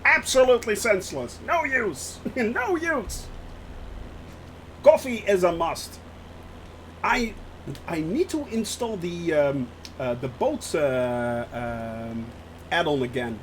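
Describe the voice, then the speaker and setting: Dutch-accented male streamer, chatty and excitable, bright high voice with big swoops in pitch. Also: slow, pauses often, a little hiss or hum, rough recording. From Netherlands. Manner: chatty and excitable, laughs often. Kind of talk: casual live-stream chat while flying a simulator.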